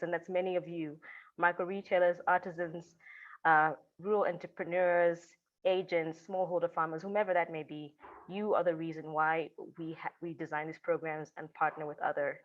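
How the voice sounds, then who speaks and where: A woman giving halting opening remarks as host of a webinar, with fillers and restarts. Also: on the low side. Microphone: video call. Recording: dull lo-fi video call audio.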